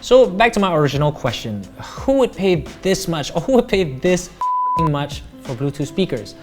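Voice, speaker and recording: A man's voice: on the high side, very animated, normal speed, music in the background. A man in his thirties with a Malaysian accent, narrating a scripted product review as a tech reviewer.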